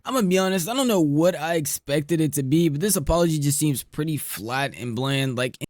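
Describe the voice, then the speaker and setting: A man giving measured, critical opinion commentary as a YouTuber. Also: fairly high pitch, animated, darkish tone, no echo, studio quality.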